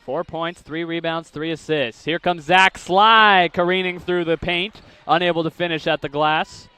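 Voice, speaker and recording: A young man calling basketball play-by-play, with rapid calls that climb sharply on big plays. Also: on the high side, steady tone, pushed and bright, smooth. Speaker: play-by-play announcer. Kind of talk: live basketball play-by-play commentary.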